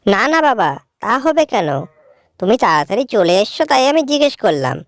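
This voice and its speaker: A woman's voice: mid-range voice, sing-song, a little fast, dry recording, studio quality. Woman as a bossy, snippy young cartoon woman. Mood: uneasy. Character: rude, greedy, jealous elder daughter. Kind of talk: cartoon story voice acting.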